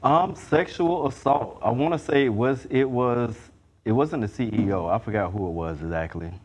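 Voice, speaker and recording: A man with a medium-pitched voice answers as a contestant on a game show. His delivery is casual and hesitant, circling back over words as he goes. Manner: halting, hesitant, repeats words. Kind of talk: casual chat.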